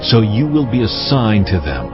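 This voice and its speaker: An actor playing a stern, sovereign God, speaking solemnly and with authority in a dramatized audio Bible. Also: male voice, fairly low pitch, big pitch swings. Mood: grave, solemn. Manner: solemn, commanding, deliberate. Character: God, stern sovereign lord pronouncing judgment. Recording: muffled, music underneath. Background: background music.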